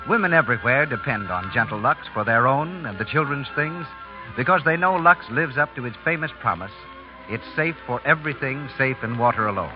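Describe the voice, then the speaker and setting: A man's voice: mid-range voice, animated, a little fast, music in the background. A man reading formal 1930s radio announcements and sponsor copy. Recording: muffled 1930s radio broadcast.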